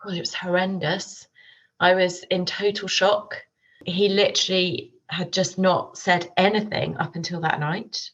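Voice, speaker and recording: A woman's voice: fairly low pitch, fairly even intonation, a little slow, a bit bright, muffled recording. A woman guest with a cold, talking plainly and candidly in an interview. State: has a cold. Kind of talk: interview conversation.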